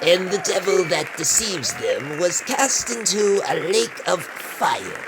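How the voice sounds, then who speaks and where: Man putting on a slow, ghoulish horror host. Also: sits high, very animated, a loud crowd, very noisy, full character voice. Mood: ominous. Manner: slow, theatrical, sly. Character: old creep, ghoulish male horror-comic host. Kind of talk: horror anthology narration.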